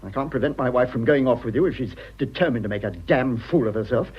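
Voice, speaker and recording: An actor playing a wronged upper-class English husband in a British radio drama, clipped, haughty and indignant. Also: male voice, medium pitch, quick pace, slightly rough, highs cut off, natural voice. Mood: furious.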